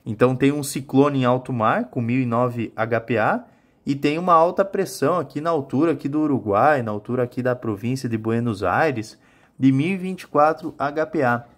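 A man's voice: mid-range voice, normal speed. A man in his thirties with a southern Brazilian accent, giving a colloquial weather forecast as a meteorologist and often checking in with his viewers. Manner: colloquial, explanatory, addresses viewers with frequent check-in tags. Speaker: meteorologist, weather channel host. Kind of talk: informal weather forecast to camera. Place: small home office with monitors, indoors.

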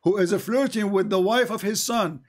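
A high-pitched man, his voice worn thin from shouting, runs a heated call-in debate. He needles his opponent and repeats his demands over and over. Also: normal speed. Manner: mocking, insistent, repeats demands. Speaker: debate show host.

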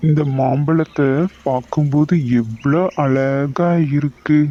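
A man's voice: medium pitch, dark tone, highs cut off, slight background noise, natural voice. A man voicing a tired, hungry woodcutter who muses to himself in a cartoon. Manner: musing to himself, weary. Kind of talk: cartoon voice acting.

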